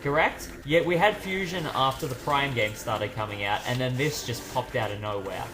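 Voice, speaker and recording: A man's voice: mid-range voice, normal speed, rough recording. A man giving casual gaming commentary as a let's play host, rambling off on tangents as he plays.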